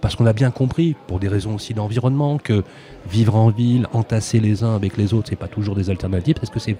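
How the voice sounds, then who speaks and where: Low, mellow Frenchman hosting radio. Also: fast. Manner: effusive, wordy, rephrases and repeats himself. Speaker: radio host and interviewer. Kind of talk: conversational live radio interview.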